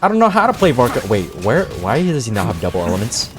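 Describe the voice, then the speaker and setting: A young man reacting casually to a game on stream, slangy and excitable, often repeating himself. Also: medium pitch, fast, music in the background. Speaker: gaming streamer.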